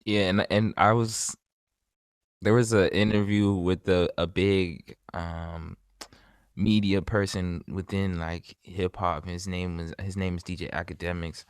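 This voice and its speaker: A man hosting a podcast talks in a relaxed, conversational way and rambles a little through his fillers. His voice is low and deep, with a dry sound and no room echo. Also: a little slow, clear, resonant tone. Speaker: podcast host. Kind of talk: casual interview chat.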